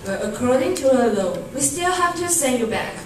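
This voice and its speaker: A woman's voice: high voice, expressive, moderate pace. A woman playing a stern, rule-bound agent who polices aliens in an amateur radio drama. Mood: insistent. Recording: echoey distant hall, noisy ambience.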